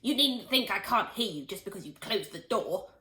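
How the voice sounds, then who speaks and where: A woman voicing a shrill, nagging old grandmother in a children's storybook reading. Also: expressive, normal speed, bright, forceful tone, roomy sound. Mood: scolding. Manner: scolding, suspicious.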